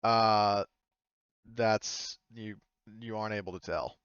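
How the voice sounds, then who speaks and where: A man running a tabletop game as game master, narrating casually and haltingly as he searches for his words. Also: lowish voice, steady tone, fairly bright tone, clean tone, dry recording, muffled recording.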